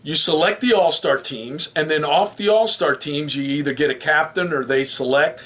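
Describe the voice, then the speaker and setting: A man's voice: on the high side, moderate pace, a bit bright. Man hosting a casual sports chat. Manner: joking, runs the segments and asks the questions. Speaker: podcast host.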